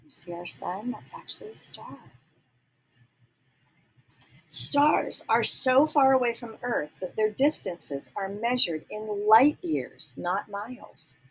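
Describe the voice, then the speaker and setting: A woman reading a children's picture book aloud, pausing to ask young listeners gentle teacherly questions and dropping into soft whispers. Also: on the high side, lively intonation, slight background noise, poor audio. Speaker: read-aloud storyteller.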